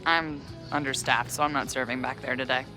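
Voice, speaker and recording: A woman's voice: extremely low pitch, fairly even intonation, fairly quick. A woman playing a blunt, teasing young venue worker in a video game, with casual, slangy, naturalistic delivery. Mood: friendly, teasing. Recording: music under the voice.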